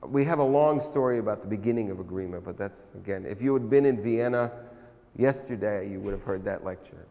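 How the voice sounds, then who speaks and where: An older man, a guest lecturer, answering audience questions in a relaxed, hesitant way with many pauses. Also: on the low side, gravelly, muffled recording. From United States. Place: large frescoed hall, indoors. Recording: long hall reverb.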